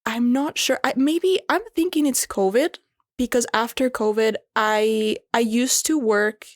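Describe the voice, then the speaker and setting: A young woman from Venezuela with a slight Venezuelan Spanish accent, talking as a podcast guest in a relaxed, chatty way and leaning on "like" as a filler. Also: a little high, a little slow, dry recording, studio quality. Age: young adult. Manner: chatty, heavy use of "like" fillers. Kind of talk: casual conversational interview.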